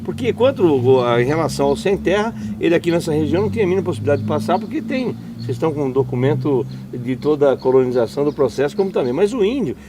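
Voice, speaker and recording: A man's voice: fairly high pitch, animated, fast, hardly any pauses, background noise. A man hosting an interview, summing up answers and asking leading questions. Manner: leading questions, summarizing remarks. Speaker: interviewer, host. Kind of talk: conversational interview.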